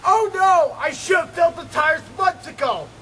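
Very high-pitched theatrical man, excitable. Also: normal speed. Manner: theatrical, exclamatory. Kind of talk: exaggerated comedic acting in amateur short film. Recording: noisy amateur film sound.

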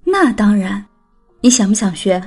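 A woman's voice: mid-range voice, big pitch swings, moderate pace, a little mellow. An actress playing a playful, caring, feisty young woman in an audiobook drama, teasing and coaxing like a big sister. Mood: caring, cajoling. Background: background music.

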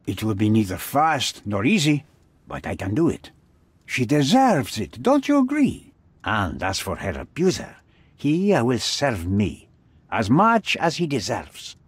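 An actor playing a smug middle-aged businessman who bargains smoothly and theatrically in a video game. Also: mid-range voice, wildly swooping pitch, a little slow, no echo, full character voice. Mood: smug bargaining. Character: smug, scheming middle-aged male businessman with shady connections. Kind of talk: video game cutscene acting.

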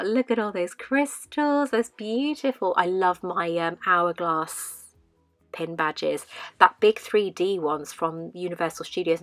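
A woman's voice: deep voice, pushed and bright, dry recording. A woman in her thirties giving a casual, rambling voice-over, often repeating herself for emphasis. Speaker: vlogger narrating a collection clean-up. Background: music.

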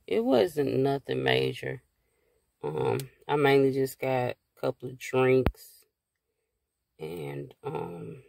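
A slow, gravelly woman chatting in a car. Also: rumbling low, no room sound. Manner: rambling and relaxed, with many fillers. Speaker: vlogger. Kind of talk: casual car chat to camera. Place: inside a parked car. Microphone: phone held at arm's length.

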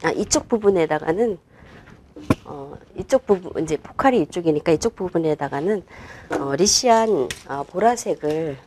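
한 중년 여성 꽃꽂이 강사가 실내 스튜디오에서 작업을 하며 설명하고, 말끝에서 자주 망설이며 혼잣말처럼 속삭이듯 말해요. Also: female voice, fairly low pitch, expressive, a little mellow, slight background noise. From Korea. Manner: unhurried, talks while working, many fillers and half-whispered asides. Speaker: flower arrangement instructor. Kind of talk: demonstration lesson while working. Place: indoor studio with a flower table.

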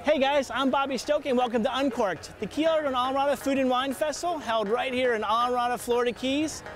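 Very high-pitched man hosting a promo. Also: quick pace, faint music behind. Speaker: festival video host. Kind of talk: upbeat promotional presenting.

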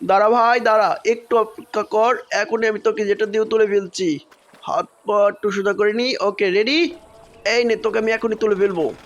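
Superhero rescuer, kids' cartoon, male voice actor: well-meaning, flustered, repetitive, hurried reassurance. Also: sits high, fairly even intonation, highs cut off, lightly acted. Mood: flustered, reassuring. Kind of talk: children's superhero cartoon voice acting.

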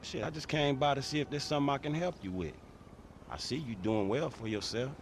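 A man's voice: medium pitch, fairly quick, background noise, natural voice. An actor playing a streetwise young American repo man, slangy and casual, in a video game cutscene. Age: young adult. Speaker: game character. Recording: game music behind dialogue.

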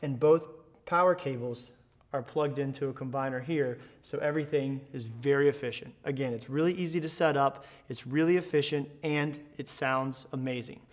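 A man's voice: mid-range voice, normal speed. An American man in his thirties giving an instructional product tutorial in an explanatory, promotional way. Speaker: presenter, product education manager. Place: large indoor school band room.

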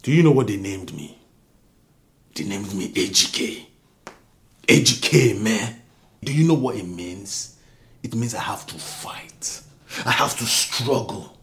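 An actor with a Nigerian accent playing a bitter self-made husband who rants at his wife about his upbringing, swinging from low mutters to shouts. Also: lively intonation, unhurried, pauses often. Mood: angry. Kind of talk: melodrama acting.

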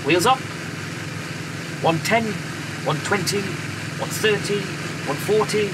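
Actor as bomber pilot under loud engine roar. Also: male voice, high-pitched, takes it slowly. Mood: focused. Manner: clipped procedural callouts. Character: calm wartime bomber pilot, skipper at the controls. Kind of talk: audio drama acting. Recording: loud aircraft engine noise. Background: roaring plane engines.

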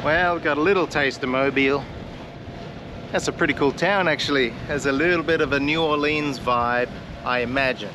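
A man in his forties talking casually to a camera outdoors, with a dry, deadpan sense of humour. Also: a little high, normal speed, background noise. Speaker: vlogger on a sailing channel. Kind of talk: casual vlog chat. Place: outdoors, on a sailboat deck and around town.

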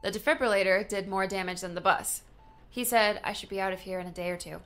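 A woman acts a wry young daughter in a dramatized audiobook. Her voice sits at a medium pitch, and her manner is dry and playfully sarcastic. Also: fast talker, fairly bright tone, close to an everyday voice. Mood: reassuring.

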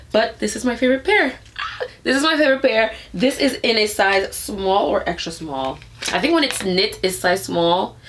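A woman in her twenties with a stuffy nose, chatting upbeat and animated through a clothing try-on haul in her bedroom. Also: fairly low pitch. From Canada. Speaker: fashion vlogger. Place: bedroom with clothing rack, indoors.

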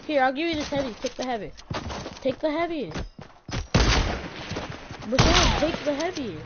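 Woman, playful, teasing, bouncy high voice, loud gunfire behind. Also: animated, normal speed. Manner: playful, teasing, bossy with teammates. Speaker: gamer streaming with friends. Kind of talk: casual game chat. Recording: dull lo-fi sound, loud game noise behind. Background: loud gunfire and game sounds.

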